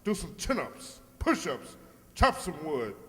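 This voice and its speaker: Man playing pompous artist, boldly swooping pitch. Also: wildly swooping pitch, unhurried, fairly bright tone, exaggerated voice. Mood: scornful, bossy. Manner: pompous, declaiming. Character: arrogant, hearty, virile artist, pompous and bossy. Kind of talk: old-time radio comedy acting, re-created. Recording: echoey hall, long reverb.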